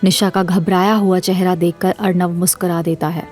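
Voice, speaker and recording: A female narrator with a slightly low voice reads a scripted drama. Her delivery is that of a storyteller, with faint music underneath. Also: level tone, moderate pace, a little mellow.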